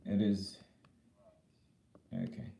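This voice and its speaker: A man live-streaming a casual vlog, slightly hoarse after being sick, talking haltingly with many fillers and repeated words. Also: warm tone, reverberant, slight background noise. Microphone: iPad camera.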